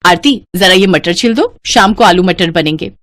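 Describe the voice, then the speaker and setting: A woman's voice: on the low side, normal speed, fairly bright tone, dry recording, lightly acted. A woman voicing a bossy, breezy elder sister-in-law in a cartoon family story.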